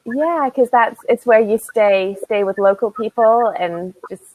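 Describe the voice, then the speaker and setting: A woman with an American accent, an online English tutor chatting through a casual lesson on a video call, unhurried and laughing lightly between sentences. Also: a little high, darkish tone, no room sound, poor audio. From United States.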